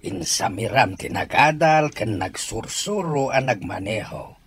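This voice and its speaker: Man playing a slow, kindly old grandfather. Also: mid-range voice, animated, close to an everyday voice. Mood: tender, grateful. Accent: Ilocano. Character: kind, advising old grandfather. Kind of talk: Ilocano radio drama acting.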